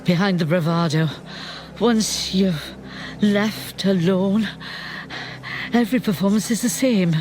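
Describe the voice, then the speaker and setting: A woman playing an ageing, proud and camp Welsh singing star, speaking theatrically with dramatic pauses in a radio play. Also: mid-range voice, darkish tone, a little hiss or hum, lightly acted. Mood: haunted. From Wales. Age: older. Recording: music behind the voice.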